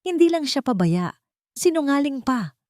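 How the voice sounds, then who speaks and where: A woman putting on a scheming, spiteful sister in an audio drama, sneering and goading. Her voice is pushed high and sharp, recorded dry and close. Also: very animated, normal speed, no room sound. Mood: smug taunting.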